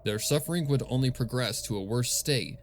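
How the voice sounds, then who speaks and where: A man narrating scripted fan fiction as a storyteller, measured and solemn in his delivery. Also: mid-range voice, a little hiss or hum.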